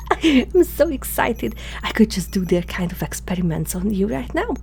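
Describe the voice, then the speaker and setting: A woman playing a curious, awkward alien scientist in human form, speaking hesitantly and softly to the listener in an ASMR roleplay. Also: a little high, sing-song, gravelly, heavily acted. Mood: hushed, excited. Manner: hesitant, halting, softly spoken, sometimes whispering. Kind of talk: ASMR roleplay, one-sided dialogue to the listener. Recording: faint background hum and noise.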